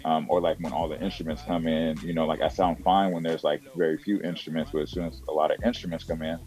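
A man with a very deep voice, talking casually as an interview guest about his music, full of "you know" and "like". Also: little pitch movement, fast talker, a little mellow. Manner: rambling, filler-heavy with "you know" and "like".

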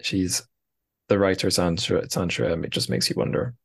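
A man's voice: low-pitched, lively intonation, normal speed, gravelly, breathy edge. A man hosting a casual interview podcast, musing aloud with hesitant, tentative phrasing. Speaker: podcast host.

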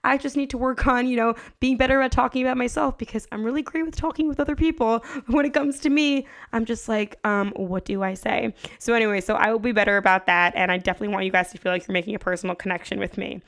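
A woman's voice: on the high side, quick pace, hardly any pauses. A woman in her twenties hosting a podcast, upbeat and chatty, often saying "like". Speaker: podcast host. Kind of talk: casual interview chat.

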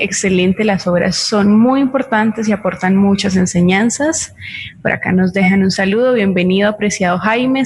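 Colombian woman with faint background noise. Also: medium pitch. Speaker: co-host reading audience comments. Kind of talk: reading out greetings and comments.

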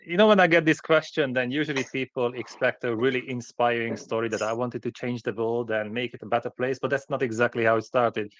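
A man's voice: mid-range voice, fairly even intonation, a little fast, hardly any pauses, fairly bright tone, dry recording. Hungarian-accented man, dry close sound. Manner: plain-spoken, short direct sentences. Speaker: podcast guest, company founder. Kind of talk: conversational interview storytelling.

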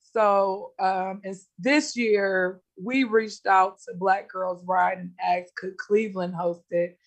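A woman guest speaking on a community radio show over a video call. Her voice is slightly raspy, and she answers in a friendly, upbeat way. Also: mid-range voice, steady tone, slow pace. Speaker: guest, small business owner. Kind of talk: interview answers.

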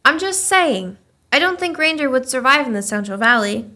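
A woman's voice: high-pitched, lively intonation, normal speed, sharp tone. A woman playing an earnest, inquisitive small-town newspaper reporter in a radio play, in a conversational style. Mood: wry, wistful.

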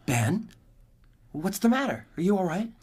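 A man's voice: high-pitched, very animated, moderate pace, a little mellow, slightly rough, full character voice. An actor playing an eager, anxious young farm boy in a radio drama, earnest and urgent. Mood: concerned. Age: young adult.

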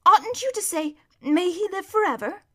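Woman as a high-pitched, naive, eager boy. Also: lively intonation, moderate pace. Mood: earnest. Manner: earnest, questioning. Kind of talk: children's storybook character reading.

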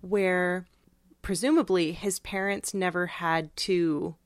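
A woman co-hosting a podcast, discussing a story casually with thoughtful, measured phrasing. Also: on the low side, fairly even intonation, no room sound, studio quality. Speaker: podcast co-host. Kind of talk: casual literary discussion chat.

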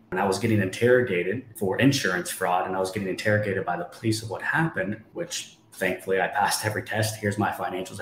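A man in his twenties, a guest on a podcast, telling his story in an emphatic, motivational way and often asking rhetorical questions. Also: deep voice. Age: 20s. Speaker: guest, real estate investor. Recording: echoey room, faint background noise.

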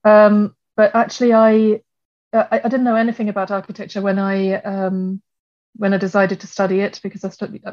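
Flat-voiced woman in a lo-fi interview. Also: medium pitch, normal speed. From Germany. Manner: thoughtful, many restarts and fillers. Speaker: architect and guest. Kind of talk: conversational interview answers.

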